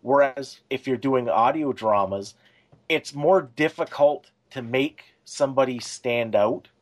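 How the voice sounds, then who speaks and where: Man, medium pitch, casual, conversational, explanatory, podcast co-host. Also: a little slow.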